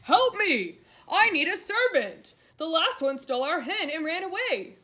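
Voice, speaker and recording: Woman doing a frazzled giantess yelling for help, super high and shrill. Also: very animated, normal speed, exaggerated voice. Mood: frantic. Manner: shrill, pleading roar. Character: huge frazzled overworked giantess.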